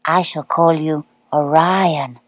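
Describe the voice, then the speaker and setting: A woman voicing a small, eager baby barn owl boy in a storybook reading, with a high, wide-eyed manner. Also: fairly even intonation, slow, no room sound.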